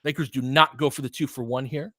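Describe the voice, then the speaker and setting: A man's voice: medium pitch, fairly even intonation, fast talker, fairly bright tone, no room sound, studio quality. A man giving informal live basketball play-by-play as a partisan commentator who urges on the home team.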